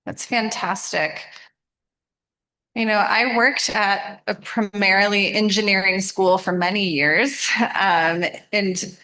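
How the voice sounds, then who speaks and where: A woman's voice: mid-range voice, fairly even intonation, slow pace, bright, forceful tone, poor audio. A woman presenting a program to students, interactive and encouraging, often affirming what they say.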